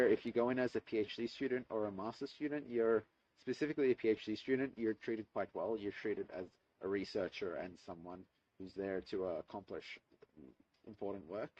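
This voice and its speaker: A man's voice: fairly low pitch, fairly even intonation, moderate pace, a little mellow, no echo. A young man, answering interview questions about his studies in a thoughtful, hesitant way, with many fillers and restarts. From Australia. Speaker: interview guest, archaeology student. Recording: distant mic, muffled lo-fi sound.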